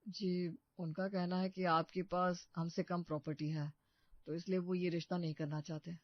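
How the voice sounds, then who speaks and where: A man's voice: high-pitched, level tone, moderate pace, dry recording, close to an everyday voice. A man playing a protective, scheming young brother in a cartoon story, with an assured, earnest delivery. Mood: smooth, boastful. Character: protective, scheming young working-class brother posing as rich suitor. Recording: muffled dry studio recording.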